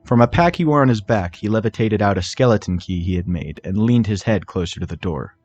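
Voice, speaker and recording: A man's voice: fairly low pitch, expressive, fairly quick, darkish tone, lo-fi sound. Man narrating a fantasy fan story. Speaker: fan fiction reader, narrator. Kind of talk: expressive scripted story narration.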